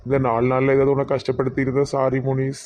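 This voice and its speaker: An affectionate young husband speaks tenderly to his wife, as a man voices him. The voice sits at a middle pitch and stays flat and even. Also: fast, close to an everyday voice. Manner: tender, plain and flat-toned.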